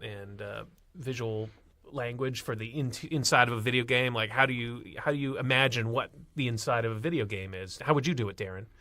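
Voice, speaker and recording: A man's voice: medium pitch, sharp tone, no echo. A man giving enthusiastic, explanatory commentary in a casual panel chat about films. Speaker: podcast panelist.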